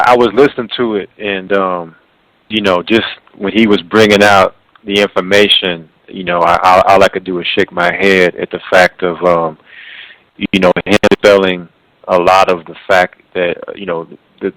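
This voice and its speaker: Very low-voiced man hosting radio. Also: fairly even intonation, a bit bright, no room sound, poor audio. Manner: halting, repeats words. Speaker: radio show host. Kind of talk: conversational interview hosting.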